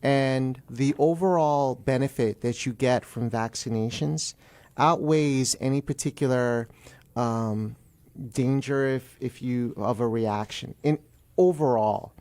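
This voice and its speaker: A man's voice: mid-range voice, takes it slowly, darkish tone. A man being interviewed live on radio as a guest attorney, speaking carefully and qualifying his opinions.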